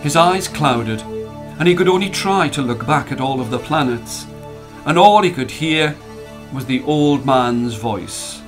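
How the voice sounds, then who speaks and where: A man's voice: mid-range voice, animated, measured pace. A man narrating a scripted story as a dramatic storyteller. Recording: music playing under the voice.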